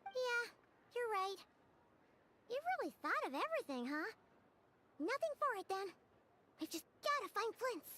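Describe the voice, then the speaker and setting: Tiny eager fairy sidekick for a game: extremely high childlike squeak, lively melodic delivery. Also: female voice, a little slow, long pauses, a little hiss or hum, heavily acted. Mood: admiring, resolved. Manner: exclaiming, wondering questions. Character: tiny excitable childlike female fairy companion, naive and eager. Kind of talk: scripted game dialogue.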